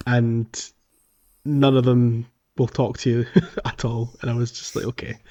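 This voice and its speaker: A man co-hosting a podcast, chatting informally and a little haltingly about playing a video game. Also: medium pitch, little pitch movement, warm tone, lo-fi sound. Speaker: podcast co-host. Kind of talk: casual chat about a video game playthrough.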